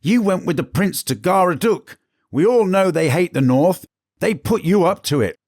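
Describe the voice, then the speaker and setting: A man's voice: high-pitched, very animated, measured pace, dark tone, breathy edge, no room sound, clean studio sound, voice pushed up, heavily acted. A man voicing a palace servant woman who trembles as she sharply accuses the cook. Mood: accusing.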